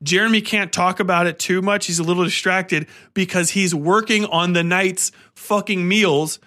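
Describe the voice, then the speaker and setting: A man speaks as a podcast host in a loose, rambling commentary style. His voice sits a bit high and has a sharp, forceful brightness. He is excitable and emphatic, with casual profanity. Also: moderate pace.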